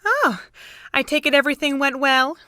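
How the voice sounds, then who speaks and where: A woman acts out a young female college student with a fairly high voice and a dry, close sound. She is chirpy, caring and insistent, pressing like a best friend in an amateur audio drama. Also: lively intonation, moderate pace, bright, forceful tone, dry recording. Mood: playful.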